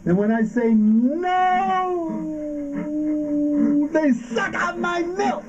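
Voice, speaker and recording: A man's voice: very high-pitched, extremely slow, heavily acted. An actor playing a tormented existential man in an avant-garde stage drama, declaiming with odd stresses. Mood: anguished defiance. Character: tormented existential man questioning being and nothingness. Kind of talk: avant-garde theatrical performance of a radio play. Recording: dull, muffled stage recording with noise.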